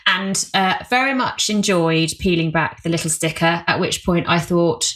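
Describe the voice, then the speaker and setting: Low-voiced woman hosting a chat. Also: fairly even intonation, moderate pace, a bit bright. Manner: chatty, wry, self-deprecating. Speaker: podcast host. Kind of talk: casual interview chat.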